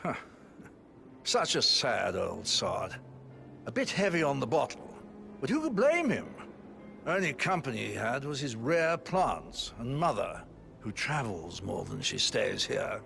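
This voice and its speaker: An actor playing a mocking, sarcastic middle-aged aristocrat with a gravelly drawl, over game music. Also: male voice, mid-range voice, big pitch swings, a little slow, exaggerated voice. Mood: scornful. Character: sneering middle-aged British aristocrat brother. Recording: game audio with music behind.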